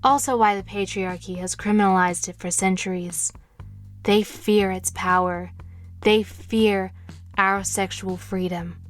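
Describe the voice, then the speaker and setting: An actress playing a confident, tender witch who mentors with soothing, measured words in an audio drama. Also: mid-range voice, steady tone, natural voice. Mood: seductive, impassioned. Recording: music playing behind. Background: music.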